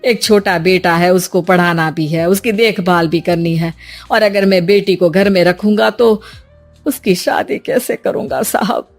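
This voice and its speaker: A woman's voice: fairly low pitch, a little slow, soft background music. A woman voicing a poor, anxious father in a cartoon story, speaking in a deferential, pleading way.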